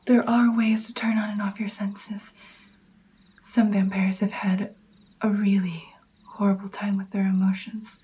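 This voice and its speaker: A woman doing whispered ASMR roleplay as a gentle, caring vampire mentor, with an intimate, breathy delivery. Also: mid-range voice, level tone, a little slow.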